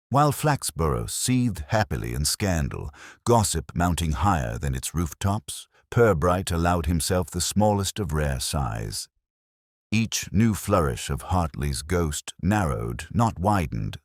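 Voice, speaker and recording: A very low-pitched man narrates a detective story in a measured, literary way. His voice has a husky edge and lively swings of pitch, recorded dry and close. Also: dry recording.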